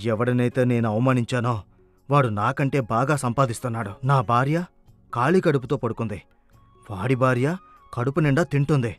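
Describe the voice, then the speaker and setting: A man's voice: mid-range voice, moderate pace, dry recording, soft background music, close to an everyday voice. A man playing a greedy, arrogant elder brother in a village moral story, with a brash, insistent manner. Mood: bitter.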